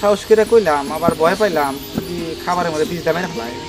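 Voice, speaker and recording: A man acts as a cowardly, meddling servant in a comedy drama. His voice is high and quick, deferential yet chatty, with a Bangladeshi accent. Music runs behind the speech. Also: lightly acted. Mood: timid. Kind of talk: Bengali comedy drama acting.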